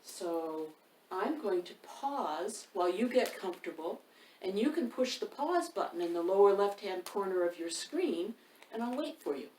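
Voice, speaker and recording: A woman's voice: mid-range voice, expressive, moderate pace, a little mellow. A woman hosting a children's storytime, reading a picture book aloud and putting questions to her young listeners. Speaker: author and storytime host. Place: indoor room by a wood stove. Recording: roomy, distant mic, faint noise.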